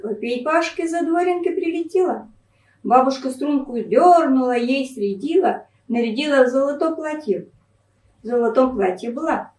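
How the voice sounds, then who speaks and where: A woman reads a folk tale in Northern Russian Pinega dialect. Her voice is high and swings widely in pitch. She is recorded from a distance in an echoey room. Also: a little mellow. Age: middle-aged. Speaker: library storyteller reading a folk tale. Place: small library room, indoors.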